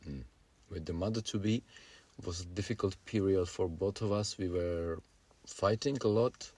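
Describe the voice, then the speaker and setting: A man in his forties with a Czech accent, a native Czech speaker, co-hosting a casual podcast and pausing often to find his words. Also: low voice, a little mellow. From Czech Republic. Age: 40s. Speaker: podcast co-host.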